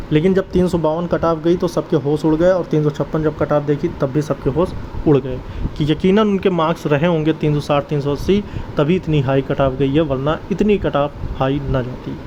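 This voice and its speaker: A man with a somewhat high voice speaks as an online advisor, earnest and exhorting. His delivery is informal commentary aimed straight at the listener. The sound is dull and lo-fi, with clear ambient vehicle noise behind him. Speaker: exam-update video creator and advisor. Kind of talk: informal exam news commentary and advice. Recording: dull lo-fi audio, clear outdoor traffic-like noise.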